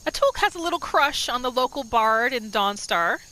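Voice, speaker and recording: A woman's voice: fairly high pitch, lively intonation, moderate pace, pushed and bright, poor audio. A woman giving casual, storytelling commentary as she narrates a roleplay video game. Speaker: gamer narrating a roleplay series.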